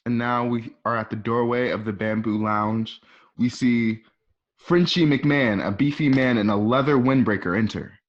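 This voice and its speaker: A man's voice: mid-range voice, moderate pace. A man reading scripted scene descriptions as the narrator of an amateur radio play. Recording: dull lo-fi home recording.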